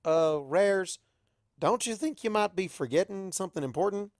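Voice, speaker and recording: A man's voice: high voice, animated, normal speed, no echo, pitched above the performer's own voice. Plainspoken cartoon farm pony, female read by a man, grumbling and wry, dry close sound. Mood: doubtful. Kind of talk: cartoon fan fiction character reading.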